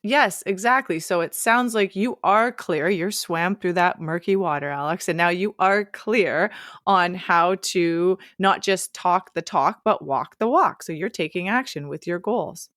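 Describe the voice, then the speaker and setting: A woman's voice: fairly low pitch, unhurried, a bit bright, dry recording, clean studio sound. A woman co-hosting a podcast who encourages her guest and steers the conversation to the next topic. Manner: encouraging, wraps up and moves the talk on. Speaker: podcast co-host.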